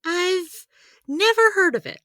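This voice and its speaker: A woman's voice: extremely high pitch, expressive, slow, sharp tone, dry recording, clean studio sound, full character voice. A woman playing a wry, analytical supernatural healer who talks precisely and skeptically in an audio drama. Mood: puzzled. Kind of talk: scripted audio drama dialogue.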